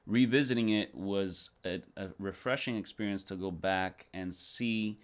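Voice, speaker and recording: Low-voiced man musing on a film. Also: fairly even intonation, smooth. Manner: thoughtful, halting with repeated words. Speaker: podcast guest. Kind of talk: casual film discussion.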